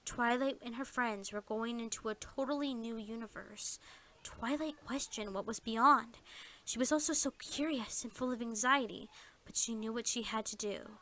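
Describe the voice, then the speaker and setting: High, bright-voiced woman telling a story. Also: normal speed, poor audio. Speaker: storyteller, narrator. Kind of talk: fan fiction story narration.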